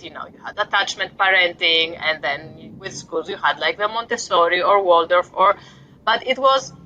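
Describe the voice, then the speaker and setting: A woman's voice: medium pitch, bright, forceful tone, muffled recording, a little hiss or hum. A woman in her forties from Greece with a Greek accent, a guest author talking reflectively about parenting, with light self-deprecating humor. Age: 40s. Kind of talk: casual interview talk.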